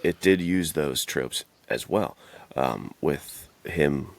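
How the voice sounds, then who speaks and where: A man's voice: a bass voice, slow. A man co-hosting a podcast, talking informally and reflectively, thinking aloud as he goes. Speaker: podcast co-host. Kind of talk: casual podcast discussion.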